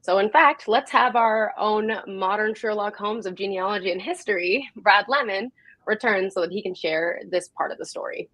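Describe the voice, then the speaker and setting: A woman's voice: mid-range voice, normal speed, fairly bright tone, dry recording. A woman hosts an online talk in an enthusiastic, appreciative, semi-scripted way. Her mid-range voice comes through dry, with very little room sound. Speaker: host of an online history talk. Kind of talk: semi-scripted event hosting.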